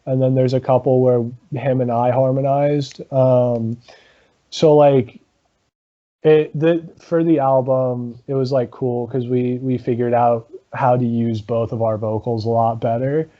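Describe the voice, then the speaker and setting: A man, a guest in a casual interview, talking about his comedy rock band with a low voice, lots of ums and laughs. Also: little pitch movement, muffled recording. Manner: halting, many ums, laughs often.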